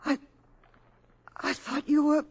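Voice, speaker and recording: A woman's voice: high-pitched, little pitch movement, takes it slowly, darkish tone. An actress playing an anxious, earnest woman caught up in danger, with breathless, emotional 1950s radio drama delivery. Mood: stunned. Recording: muffled 1950s radio broadcast.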